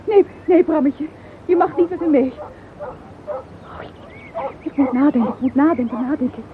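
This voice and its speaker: A woman's voice: high-pitched, lively intonation, normal speed. A woman acting a shocked, panicking and scheming sister in a radio play, breathless, stammering and agitated. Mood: frantic. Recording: old tinny radio recording with hiss.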